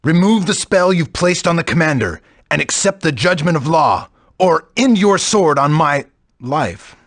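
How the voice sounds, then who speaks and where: A man's voice: on the high side, expressive, a little slow, a bit bright, dry recording, exaggerated voice. A man playing a stern, righteous swordsman in a fantasy game, recorded during studio takes. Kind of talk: scripted game dialogue, studio outtakes.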